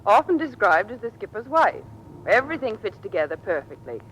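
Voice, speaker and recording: Lively, teasing commander's wife. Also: female voice, medium pitch, a little fast. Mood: teasing, welcoming. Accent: American. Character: poised, teasing, hospitable American squadron commander's wife. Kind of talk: old-time American radio drama acting. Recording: noisy vintage radio recording.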